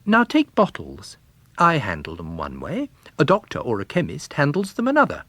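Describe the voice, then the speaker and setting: A man's voice: fairly high pitch, wildly swooping pitch, normal speed, a bit bright, no echo, pitched above the performer's own voice, heavily acted. A man voicing a shrewd, courteous commercial traveller who explains things in a chatty, methodical way in a story reading. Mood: courteous, explaining.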